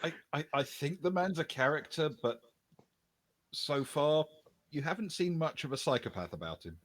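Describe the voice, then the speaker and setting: A man running a tabletop game, recapping the story and announcing upcoming streams in a measured, slightly halting way. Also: fairly high pitch, animated. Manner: measured, halting with small restarts. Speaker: game master.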